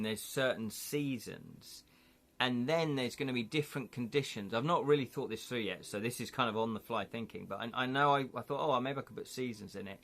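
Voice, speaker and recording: A man's voice: mid-range voice, a bit bright. A man with an English accent hosting a casual live stream, chatting and thinking aloud as he reads viewer comments. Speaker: livestream host.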